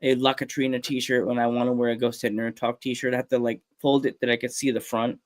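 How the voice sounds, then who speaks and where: A man's voice: mid-range voice, little pitch movement, brisk, no room sound, clean studio sound. A man with a stuffed-up nose, hosting a podcast and chatting casually, laughing often. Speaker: podcast host. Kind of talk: casual chat.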